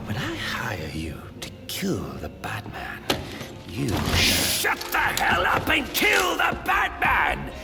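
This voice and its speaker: An actor playing a manic, theatrical clownish crime boss in a video game, gleeful and menacing, swinging from hush to shout. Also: male voice, high-pitched, wildly swooping pitch, slow pace, vocal fry, over loud music, exaggerated voice. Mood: threatening. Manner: theatrical, drawn out, swooping between hush and shout. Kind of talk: video game villain acting.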